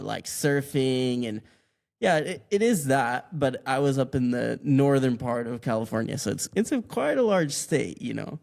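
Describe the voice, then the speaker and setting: Man, twenties, from Mexico, podcast guest, relaxed, rambling, restarts often. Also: medium pitch, vocal fry. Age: 20s. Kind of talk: casual interview answers.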